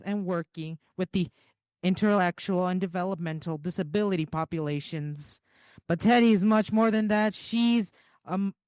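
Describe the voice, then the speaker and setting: High-voiced man hosting an event. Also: dry recording. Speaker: event co-host. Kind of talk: announcements and speaker introduction. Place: hotel ballroom event.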